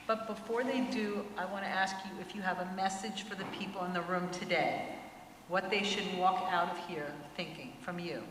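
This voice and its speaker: A woman's voice: fairly low pitch, steady tone, moderate pace, fairly bright tone, slight background noise. Distant woman moderator in an echoing hall. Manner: asks questions of the speaker. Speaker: moderator, the speaker's daughter. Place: synagogue hall.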